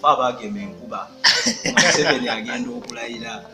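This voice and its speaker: A man's voice: medium pitch, big pitch swings, quick pace, gravelly. A man, joking and teasing in casual food-tasting chat. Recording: echoey room with loud music. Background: loud background music.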